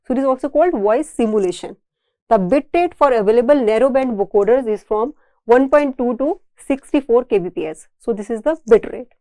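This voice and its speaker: Indian lady teaching engineering, kinda high mellow voice, explains and repeats stuff, very dry sound. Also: expressive, brisk, no echo, poor audio. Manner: didactic, repeats and rephrases points. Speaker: engineering lecturer. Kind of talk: explanatory technical lecture to camera.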